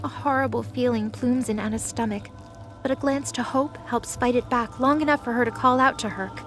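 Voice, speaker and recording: Female narrator for scripted audio drama; slightly high voice, music under the read. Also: steady tone, a little fast.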